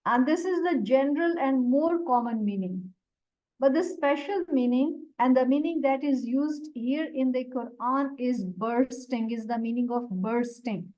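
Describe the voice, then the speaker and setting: A woman whose first language is Urdu, teaching a Quran lesson and explaining Arabic words one by one in a deliberate way. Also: high voice, darkish tone. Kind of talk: slow explanatory religious lesson. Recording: dull lo-fi recording.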